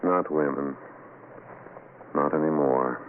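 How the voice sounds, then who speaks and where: An actor playing a shaken husband in a radio horror play, speaking in a halting, dramatic way. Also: male voice, very deep voice, steady tone. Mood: grim awe. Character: Chuck, shaken husband in a haunted cabin. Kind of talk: old-time radio horror acting. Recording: muffled, noisy old radio recording.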